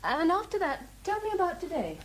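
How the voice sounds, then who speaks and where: An actress playing a gentle, patient young English woman in a British radio drama, soft, affectionate and coaxing. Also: very high voice, wildly swooping pitch, brisk, slight background noise, exaggerated voice.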